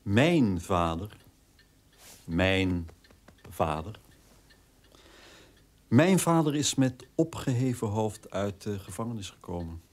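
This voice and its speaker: An actor playing a resentful, tormented brother in a radio drama, emphatic and reproachful. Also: male voice, on the low side, big pitch swings, takes it slowly, long pauses. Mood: brooding. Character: resentful, accusing brother tormented by his father's guilt.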